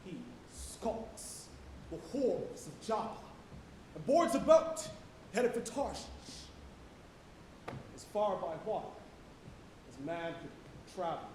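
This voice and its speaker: A man delivering a theatrical sermon monologue on stage, declamatory, swinging from hushed tones to shouting. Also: very animated, slow, long pauses. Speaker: stage actor preaching a sermon. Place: college auditorium stage. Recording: echoey auditorium with loud rumbling noise.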